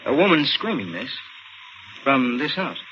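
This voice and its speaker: An actor playing a skeptical, easygoing American police detective in a 1940s radio drama, casual and gently condescending. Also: male voice, mid-range voice, sing-song, unhurried. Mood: businesslike. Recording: muffled, noisy old radio broadcast.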